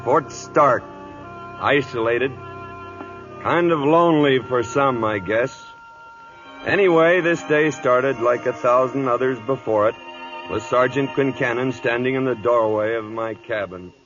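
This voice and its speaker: A man acting a gruff, dry-humoured veteran cavalry captain in an old-time radio drama, clipped, authoritative and wry. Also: mid-range voice, measured pace, close to an everyday voice. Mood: grave, reflective. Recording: dull vintage radio broadcast, music behind.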